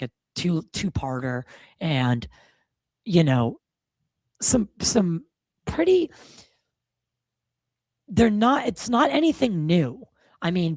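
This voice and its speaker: A man with a gravelly, slightly hoarse voice, hosting a podcast in loose, casual, swearing chat full of fillers. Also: fairly high pitch, no room sound. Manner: loose and profane, lots of fillers. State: a bit hoarse from a cold. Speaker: podcast host.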